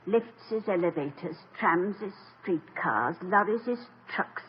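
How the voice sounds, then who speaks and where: Dutiful grumbling maid, working-class British accent, unhurried, swooping intonation, noisy old radio sound. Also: female voice, lowish voice, lively intonation. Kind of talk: 1950s radio comedy acting. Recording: muffled, noisy 1950s radio recording.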